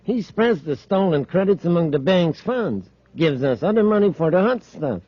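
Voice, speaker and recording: A sly space crook with a high, swooping voice. He taunts with mock politeness, gloating in old-time radio serial fashion. The recording is dull and dated. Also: very animated, normal speed, warm tone, no echo. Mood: businesslike, shifty. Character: gloating male space villain, sly and mocking. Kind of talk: 1950s radio serial acting.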